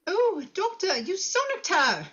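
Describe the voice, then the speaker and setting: A woman's voice: very high-pitched, big pitch swings, unhurried, a bit bright, full character voice. A woman playing a nosy, cheeky young companion in a script table read, playful and prying, on a slightly dull recording. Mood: gleeful.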